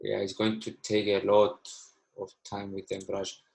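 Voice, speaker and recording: A man's voice: fairly low pitch, monotone, a little slow, vocal fry, darkish tone. Man in his twenties teaching. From Cyprus. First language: Greek. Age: 20s. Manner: fills with frequent "okay", slightly broken English. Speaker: trading educator. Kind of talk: live online teaching. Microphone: video call.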